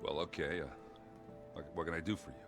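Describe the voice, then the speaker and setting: An actor playing a good-natured, ale-loving rogue in a video game, bluff and wry, with a hesitant, rambling way of talking. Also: male voice, sits low, little pitch movement, fast talker, music in the background. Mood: awkward.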